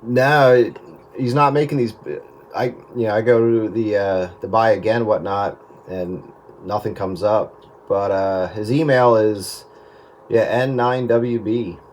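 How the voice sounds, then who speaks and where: Low-voiced man on radio, faint static. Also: steady tone, measured pace, darkish tone. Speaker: amateur radio operator. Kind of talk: casual radio chat.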